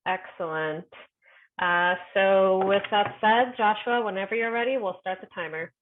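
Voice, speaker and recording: A woman's voice: medium pitch, a little slow. A woman in her twenties hosting a speech competition, talking casually with many filler words. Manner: friendly, filler-heavy talk. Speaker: event host. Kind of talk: casual moderating. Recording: tinny phone-like call audio.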